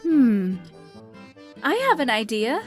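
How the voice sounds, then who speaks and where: Woman acting an eager young princess, high voice, lively intonation, innocent, earnest, cartoon dub. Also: takes it slowly, heavily acted. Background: music.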